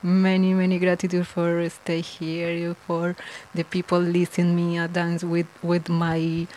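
Woman, Colombian Spanish accent, slow, lowish voice, halting English, guest DJ chat. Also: monotone, low-quality recording. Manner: halting, searching for words, laughs often, soft trailing lines. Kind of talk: casual interview in non-native English.

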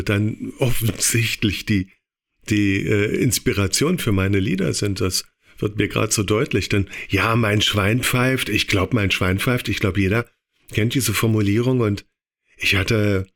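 A man's voice: fairly low pitch, a bit bright. A man, a singer-songwriter, answering interview questions in a modest, reflective storytelling manner.

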